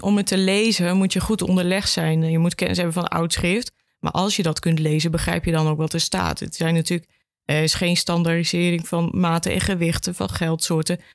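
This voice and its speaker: A woman with a Netherlands Dutch accent explains conversationally as a podcast guest, thoughtful and halting, voicing imagined reactions. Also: lowish voice, no room sound, clean studio sound. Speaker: education officer at a regional archive, interview guest.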